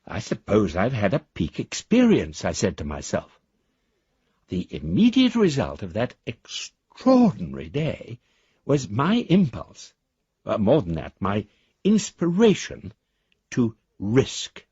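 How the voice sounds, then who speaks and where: A man's voice: fairly low pitch, wildly swooping pitch, takes it slowly, darkish tone, husky, no room sound, highs cut off, exaggerated voice. An actor playing an eccentric, grandiose amateur philosopher, reading his letter in pompous, florid phrases for a radio drama. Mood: musing.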